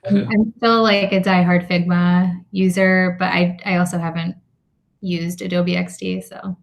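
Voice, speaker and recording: A woman's voice: fairly low pitch, flat delivery, a little slow, gravelly. A woman hosting a meetup interview over a video call, chatty and friendly, with frequent fillers.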